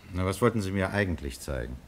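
An actor playing a calm, courteous police inspector who asks probing, matter-of-fact questions in a radio crime drama. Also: male voice, low voice.

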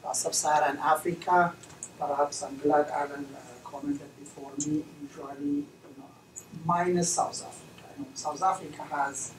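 A man's voice: on the high side, fairly even intonation. Middle-aged man from Ethiopia, hesitant academic commentary, distant mic, roomy lecture hall. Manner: measured, hesitant, many fillers. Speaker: veterinarian and conference discussant. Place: lecture room. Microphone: distant camera mic. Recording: echoey room recorded from afar, noisy.

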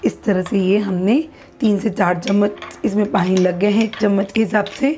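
A woman talking through a recipe step by step in her home kitchen, in an unhurried, repetitive instructional way. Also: medium pitch, fairly even intonation, noisy background. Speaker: cooking channel host. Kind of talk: step-by-step cooking demonstration. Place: home kitchen at a gas stove.

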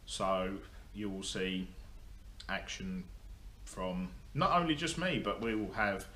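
A young man talks straight to a camera in his workshop, blunt and rambling, with plenty of ums and false starts. His voice sits very low and he takes his time. The room rings with echo over a faint hum. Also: slow, pushed and bright. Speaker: motorsport vlogger. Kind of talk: casual update to camera. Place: indoor workshop. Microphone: camera in front of him.

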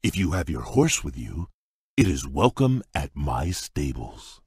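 An actor playing a large, shy, polite beast prince in a video game, speaking in a courteous, formal, earnest way with a husky voice. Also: male voice, very animated, measured pace, darkish tone, no echo, clean studio sound, heavily acted. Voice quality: deep husky voice. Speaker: game character. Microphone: dry close studio mic.

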